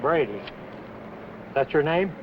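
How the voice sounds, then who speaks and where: An American actor playing a brisk, businesslike traffic cop in a 1950s film, on a muffled, noisy soundtrack. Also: male voice, on the high side, lively intonation. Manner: brisk, official.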